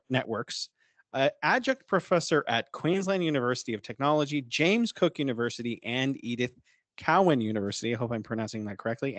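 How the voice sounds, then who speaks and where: A man's voice: medium pitch, a bit bright, no room sound. Hesitant male podcast host, dry sound. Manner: friendly, hesitant, with many fillers. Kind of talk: interview hosting.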